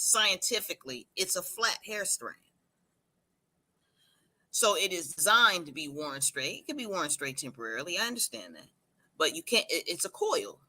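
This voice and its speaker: A woman's voice: lowish voice, pushed and bright. A woman from Puerto Rico, a hairstylist, making her point emphatically on a livestream panel. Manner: emphatic, preachy, drawn-out lists.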